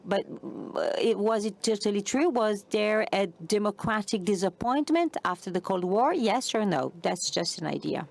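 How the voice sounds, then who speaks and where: A woman moderates a live panel, feeling her way through her questions with pauses and restarts. Her voice sits at an ordinary middle pitch. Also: lively intonation, creaky, a little mellow. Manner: hesitant, many fillers and restarts.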